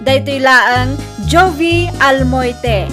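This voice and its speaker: Female radio drama announcer, high voice, scripted intro delivery over clear music. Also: extremely slow.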